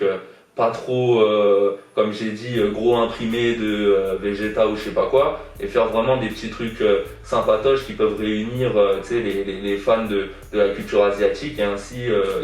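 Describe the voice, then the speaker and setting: A young man answers interview questions casually, stalling on fillers and repeating words as he thinks. His voice sits a bit low. The sound is very echoey and distant, with faint music behind. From born in Iran, raised in France. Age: young adult. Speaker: guest artist. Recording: echoey room, distant from mic.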